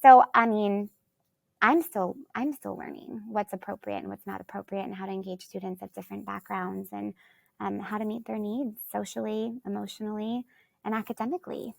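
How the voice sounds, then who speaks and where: A woman teacher from Alaska answers interview questions, thinking aloud as she goes and often circling back on herself. Her voice has a slightly gravelly, fry-tinged texture. The sound is dry and close, with no room around it. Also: mid-range voice, fairly even intonation, moderate pace, no echo. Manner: thinks aloud, rambling with restarts and "you know". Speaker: third-grade teacher, guest.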